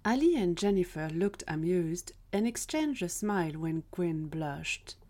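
A woman's voice: fairly low pitch, expressive, measured pace, dark tone. A woman reading scripted third-person narration for an audio drama.

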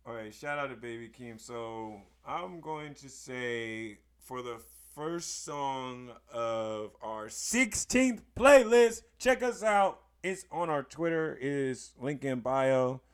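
Hoarse male podcast host, unhurried, excitable hype talk. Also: medium pitch. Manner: hype, emphatic, bursts into loud exclamations. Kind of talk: casual podcast chat.

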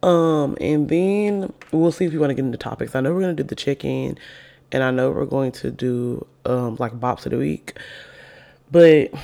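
A woman with a deep voice, roughened further by illness, hosts her podcast alone and chats loosely to her listeners. Her tone is playful and slangy, full of self-hype, with a gravelly fry running through her words. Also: dark tone. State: sick with covid, voice extra deep. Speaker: podcast host. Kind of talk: casual solo podcast chat.

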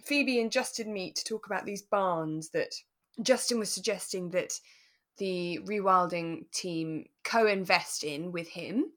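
A woman with a fairly low voice talks as a podcast co-host. She chats casually and thoughtfully, qualifying her opinions as she goes in a relaxed review style. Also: measured pace. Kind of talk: relaxed casual chat reviewing a radio drama.

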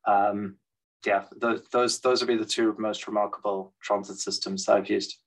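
Measured man speaking in a flat tone. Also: fairly low pitch. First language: English. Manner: thoughtful, measured, many fillers and restarts. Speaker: guest, transit expert. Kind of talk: interview conversation.

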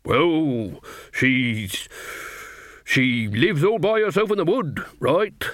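A man reading a story, voicing a boastful, slow-witted burly woodcutter who keeps adding "right?". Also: fairly high pitch, expressive, fairly bright tone, no room sound, voice pushed up. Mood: gossipy. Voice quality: slow, blunt, tag-questions with "right?". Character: boastful burly male woodcutter, dim and gossipy.

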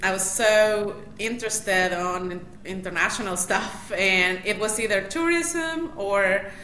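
Woman, Mexican Spanish accent, bright voice, chatty with fillers, echoey room, faint noise. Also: medium pitch, unhurried. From Mexico City, Mexico. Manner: chatty, laughs often, many fillers and restarts. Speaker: guest, matchmaker. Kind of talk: casual interview.

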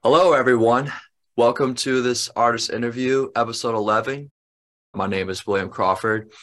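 A man's voice: on the low side, a bit bright. A young man hosting a casual interview in a conversational way. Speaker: interview host.

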